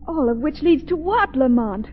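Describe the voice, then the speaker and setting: A high-pitched, curious sidekick from a thirties radio thriller. She is expressive and a little wry, heard through a thin, phone-like old recording with faint noise. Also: normal speed. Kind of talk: 1930s radio drama acting. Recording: tinny, noisy 1930s radio recording.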